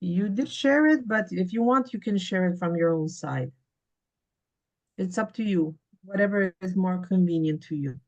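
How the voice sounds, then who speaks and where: A woman moderating a webinar over a video call, polite and halting with many fillers. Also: on the low side, expressive, a little mellow, dull audio.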